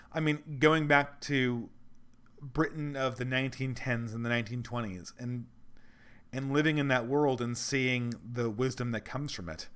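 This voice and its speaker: A man whose voice is going hoarse talks through a livestream in an ordinary middle register. He is earnest and meandering, and he works his thoughts out aloud as he answers viewers. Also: medium pitch. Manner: rambling, earnest, thinks aloud. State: losing his voice. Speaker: livestream host reading donations. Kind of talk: casual livestream Q&A.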